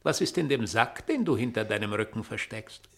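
An actor playing a suspicious, miserly master and father in a German radio play, commanding and brooding, with a gravelly, husky voice. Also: lowish voice, lively intonation, moderate pace. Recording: vintage 1960 radio studio recording.

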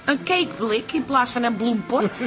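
Actress as high-voiced, sardonic Dutch landlady. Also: moderate pace, lightly acted. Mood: teasing. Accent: Netherlands Dutch. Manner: wry, mocking, colloquial. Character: sardonic, brisk female pub landlady. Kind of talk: radio comedy-drama acting. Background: music.

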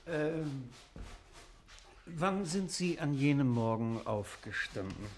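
A man's voice: a little high, lively intonation. Probing, composed male legal official. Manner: measured, inquisitive, formal. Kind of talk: German radio play acting.

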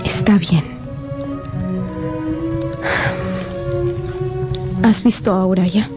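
An actress playing a gentle, earnest, troubled young woman in a radio drama. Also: medium pitch, moderate pace, lightly acted. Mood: subdued. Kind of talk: Spanish-language radio drama acting. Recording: clear music behind the voice.

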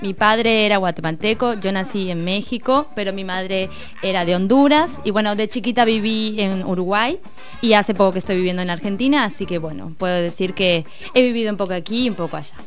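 Woman guest, mid voice, friendly, rattles off where she's from, soft music behind. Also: mid-range voice, a little fast. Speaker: radio guest.

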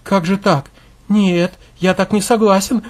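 A man's voice: high voice, steady tone, unhurried, voice pushed up. A man doing a whiny, tearful widower. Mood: pleading. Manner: pleading, tearful, whining.

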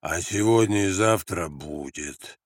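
A man voicing a sullen, sly, drunken guerrilla in an audiobook, talking slowly and weightily. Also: deep voice, no echo, studio quality. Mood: weighty, slow. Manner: slow, weighty, didactic.

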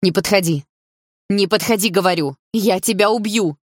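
A woman's voice: low voice, steady tone, normal speed, a little mellow, breathy edge, no echo, clean studio sound. A woman voicing a desperate, protective mother in an audiobook, emotional, pleading and defiant. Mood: defiant, furious. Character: desperate protective mother, long-suffering wife standing up. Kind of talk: audiobook character dialogue.

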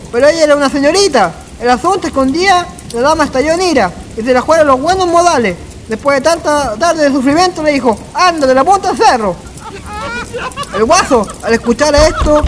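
An actor playing a wry, drunk Chilean village storyteller in a radio drama, colloquial and teasing. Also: male voice, very high-pitched, noisy background.

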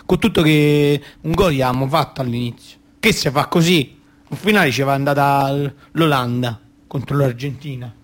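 A man's voice: mid-range voice, normal speed, natural voice. An actor with a Roman accent playing a naive, football-mad little boy who rambles and repeats himself in a colloquial radio theatre monologue. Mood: knowing, puzzled. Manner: rambling, repeats phrases and quotes his dad.

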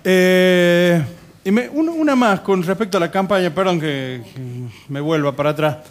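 A man's voice: high voice, animated, normal speed. A man co-hosting a radio show in humorous, casual banter. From Argentina. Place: live radio broadcast from a bar.

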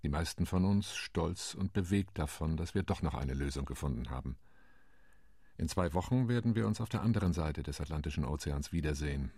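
Reflective delegate, very deep voice, husky, dry close sound, calm. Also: male voice, brisk, no room sound, full character voice. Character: male delegate recalling the negotiations. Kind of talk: reflective monologue, radio play.

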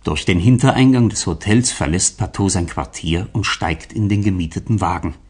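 Male radio-play narrator: deep-leaning, rough-edged voice, expressive scripted storytelling. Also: moderate pace.

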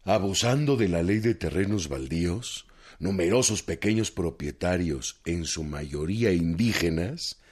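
An actor playing an earnest, plain-spoken rural revolutionary man with a Mexican accent and a husky voice in a radio drama. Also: low voice, lively intonation, measured pace, a little mellow, exaggerated voice. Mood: earnest, persuading.

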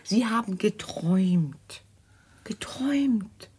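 An actress in a radio drama playing a fussy, motherly, superstitious governess with a breathy, reassuring way of talking. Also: medium pitch, slow, dry recording, full character voice. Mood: flustered, reassuring. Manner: fussing, reassuring, animated.

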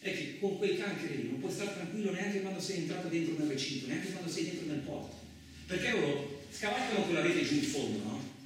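An actor playing a rough, rambling male truck driver in a colloquial radio theatre monologue, with an earthy, agitated manner. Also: on the high side, animated, brisk, natural voice. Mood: bitter, confiding. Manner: earthy, agitated, rhetorical tags, swearing. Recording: echoey distant room, faint noise.

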